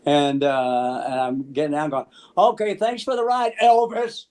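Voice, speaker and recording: Older American man, high-pitched, telling stories. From Memphis, United States. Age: 70s. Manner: animated, halting, acts out quoted dialogue. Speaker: interview guest, musician. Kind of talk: casual anecdotal storytelling. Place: small room at home, on a video call.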